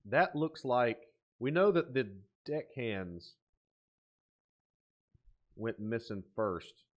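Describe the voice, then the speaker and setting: A man in his thirties hosts a reaction video with a mid-range voice. He thinks out loud as he goes, swinging between excitable exclamations and quieter musing. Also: mid-range voice, extremely slow. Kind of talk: reaction commentary, thinking aloud. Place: small indoor room at a desk.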